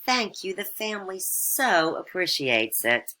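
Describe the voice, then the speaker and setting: Casting: vain, condescending storybook duchess, played by a female narrator, swooping pitch, bright forceful tone. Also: big pitch swings, measured pace, heavily acted. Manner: haughty, condescending, self-absorbed.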